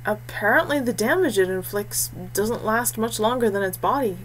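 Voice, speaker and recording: A woman's voice: medium pitch, moderate pace, lightly acted. Practical young male fighter female reader, matter-of-fact, thoughtful, audiobook dialogue, noisy background. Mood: reasoning. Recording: clearly noisy background hum.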